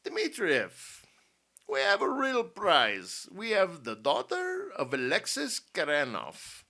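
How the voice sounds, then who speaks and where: A man voicing an imperious, menacing general who interrogates in formal, commanding speech and is quick to anger, in an audiobook story. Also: high-pitched, huge pitch swings, pushed and bright, voice pushed up, exaggerated voice. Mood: gloating.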